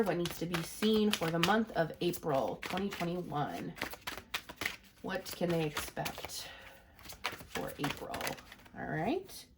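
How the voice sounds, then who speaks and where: A woman's voice: lowish voice, very noisy. A woman with a stuffy, congested voice from a cold, giving a casual, rambling tarot reading to her viewers with lots of fillers. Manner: chatty, rambling, many "you know" and "um" fillers. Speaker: tarot reader.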